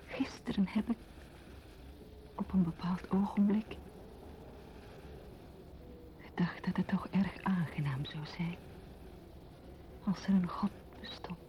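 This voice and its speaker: An actress playing a withdrawn, wary, melancholy woman in an intimate radio play monologue, speaking in a breathy half-whisper. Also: lowish voice, takes it slowly, pauses often, highs cut off. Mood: wary, pensive.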